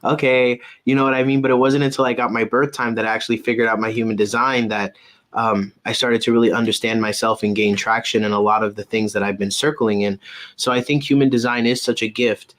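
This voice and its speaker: A man's voice: medium pitch, little pitch movement, a little fast, fairly bright tone. A man chatting casually and supportively as co-host of a live stream over a video call.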